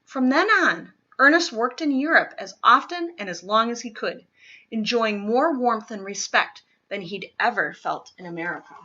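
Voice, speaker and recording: A woman with a fairly high, clearly bright voice reads a children's true-story picture book aloud. She phrases deliberately and leans on key words for young listeners. The recording is slightly dull and lo-fi. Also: animated. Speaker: read-aloud reader.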